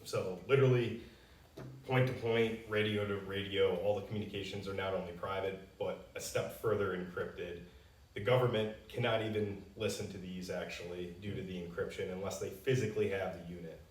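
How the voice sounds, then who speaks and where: A man's voice: sits low, fairly even intonation, a little fast. A man giving an unscripted, confident promotional explanation, answering customer questions as a company spokesman. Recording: roomy echo, distant mic.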